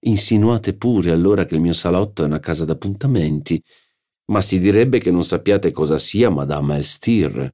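A man's voice: sits low, moderate pace, darkish tone. A man voicing a haughty, scheming society hostess who speaks with imperious scorn in an audiobook reading. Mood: indignant.

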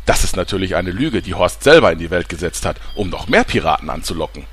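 A man's voice: low-pitched, big pitch swings, fast talker, a bit bright, a little hiss or hum. A man playing a smarmy, scheming ex-journalist with grandiose patter in a parody fantasy audio drama. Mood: flattering, grandiose. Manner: grandiose, gushing salesman patter. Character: smarmy, scheming male ex-journalist turned flatterer.

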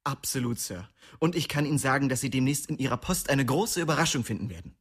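A man's voice: medium pitch, lively intonation, quick pace, husky, dry recording, studio quality. An actor playing a wry young man who tells the story of his small-town youth in slangy radio drama narration. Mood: mock earnest. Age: young adult. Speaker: narrator and main character.

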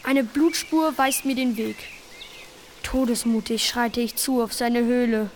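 A woman's voice: on the high side, measured pace, slight background noise, lightly acted. An actress in a radio play voicing a bold young boy hero who declaims like a dragon-slaying knight. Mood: defiant, heroic. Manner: declamatory, heroic. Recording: loud music and effects behind.

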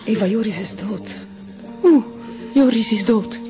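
A woman's voice: mid-range voice, measured pace, lightly acted. An actress playing a distraught, grieving woman in a cult in a television drama, crying as she speaks. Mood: grief-stricken, crying. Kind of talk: television crime drama acting. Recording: muffled lo-fi sound, music behind.

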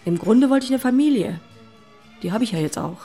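A woman's voice: a little high, lively intonation, brisk, mellow. An actress playing a practical, matter-of-fact woman, the exasperated best friend, in radio play style. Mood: defensive, annoyed. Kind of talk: German radio play acting. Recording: music underneath.

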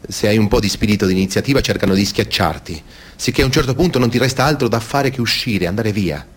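A man's voice: lowish voice, fast talker, lightly acted. A man reading scripted testimony and narration in speech for a documentary radio play. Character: male and female witnesses recounting abuse by priests.

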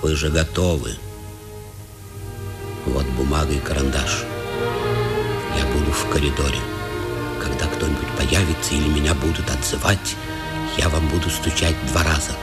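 An actor playing a prison warder who secretly helps inmates, guarded and deliberate, in a 1950s Soviet radio play. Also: male voice, a bass voice, steady tone. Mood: guarded, confiding. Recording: old radio drama under loud music.

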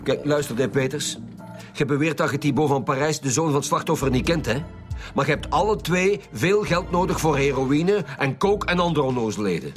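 A man's voice: fairly high pitch, lively intonation, a little fast, soft background music, natural voice. A man playing a domineering, sarcastic police commissioner with a Flemish accent in a radio drama, impatient as he interrogates. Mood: accusing. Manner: sardonic, impatient, mock-sweet.

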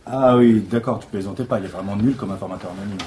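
An actor playing a reluctant, sheepish police sidekick in a comedy audio drama, hesitant and stammering. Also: male voice, lowish voice, quick pace, mellow, a little hiss or hum, lightly acted. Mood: wry, reproachful.

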